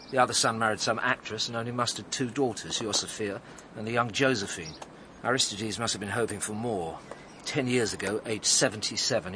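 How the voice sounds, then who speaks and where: A man's voice: lowish voice, pushed and bright, a little hiss or hum. An actor playing a wry, pressing male chief inspector in a radio drama, with a dry, blunt, needling way of talking.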